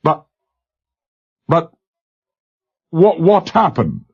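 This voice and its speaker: A man's voice: medium pitch, animated, slow pace, darkish tone, breathy edge. A man voicing an older male ship's captain, worn but commanding, giving clipped, urgent orders. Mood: stunned. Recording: dull lo-fi recording.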